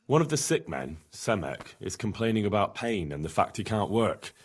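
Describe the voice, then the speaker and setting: An actor playing an earnest, helpful young medieval villager in a video game, speaking plainly. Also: male voice, on the low side, big pitch swings, normal speed, slightly rough. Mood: earnest, concerned. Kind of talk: video game cutscene dialogue.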